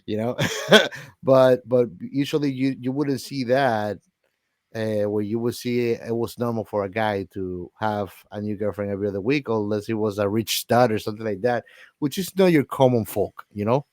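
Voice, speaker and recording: A man's voice: on the low side, fairly even intonation, normal speed, no room sound. A man co-hosting a podcast, chatting casually and bluntly about movies in slangy, informal language. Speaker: podcast co-host.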